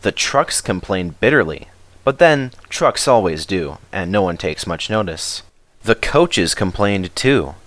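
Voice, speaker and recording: Animated male storybook narrator, dry sound. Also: deep voice, measured pace, no room sound. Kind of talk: expressive scripted children's story narration.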